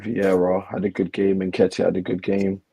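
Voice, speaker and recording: A man co-hosting a casual football chat, slightly hoarse from losing his voice, laid-back and defensive about his team. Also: low-pitched, level tone, moderate pace, warm tone, rough recording. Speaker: co-host, football fan.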